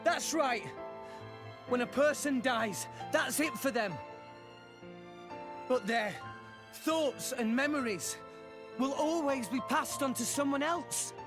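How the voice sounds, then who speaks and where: A man's voice: extremely high pitch, takes it slowly, long pauses, over music, full character voice. An actor playing an earnest, idealistic teenage boy hero in a video game cutscene. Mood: impassioned, insistent.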